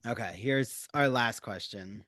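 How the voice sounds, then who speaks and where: A man's voice: medium pitch, a little slow, sharp tone, no echo, clean studio sound. A man hosting a podcast, chatting casually in a sympathetic, conversational way. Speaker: podcast host. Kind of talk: casual discussion.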